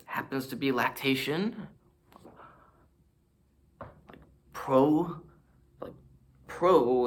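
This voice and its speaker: A man's voice: medium pitch, vocal fry, slightly rough. A man vlogging about fitness, talking to a camera in front of him in a rambling, self-mocking way as he shows off poses. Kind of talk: casual rambling monologue to camera.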